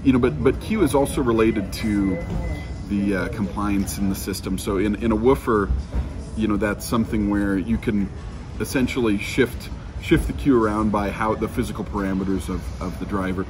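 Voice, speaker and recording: A man in his thirties giving an informal technical explanation as an engineer being interviewed, halting as he thinks aloud. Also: lowish voice. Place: outdoors under a covered walkway.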